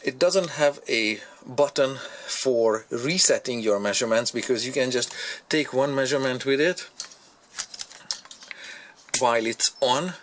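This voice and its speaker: A man giving a casual hands-on product review in a halting way, thinking aloud as he goes. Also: medium pitch. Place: indoor workshop at a workbench. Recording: clear background noise, handling clicks.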